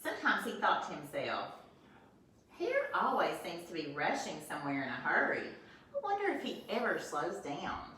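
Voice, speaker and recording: An older woman, a first grade teacher, reading a storybook aloud to children with expressive asides, filmed at home on a phone. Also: lowish voice, normal speed, a little hiss or hum. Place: home, with a small grandchild nearby. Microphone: phone camera held by her son. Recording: echoey room, voice from a distance.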